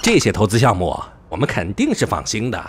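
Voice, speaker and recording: Man acting as a blunt shareholder, wildly animated. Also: lowish voice, fairly quick, slightly rough, full character voice. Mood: conciliatory. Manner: blunt, complaining. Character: indignant, sarcastic male company shareholder confronting management. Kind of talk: Chinese audio drama acting.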